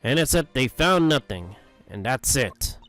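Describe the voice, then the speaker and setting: A performer voicing a gruff, cocky male agent in a fan dub of a game, blunt in manner. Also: medium pitch, sing-song, measured pace, fairly bright tone, soft background music. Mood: brash.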